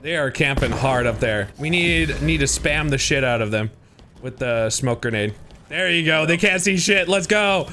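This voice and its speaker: Lively, upbeat male game streamer. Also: a little high, moderate pace, a little hiss or hum. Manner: lively, laughing, giving teammates directions. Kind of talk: casual gaming chat.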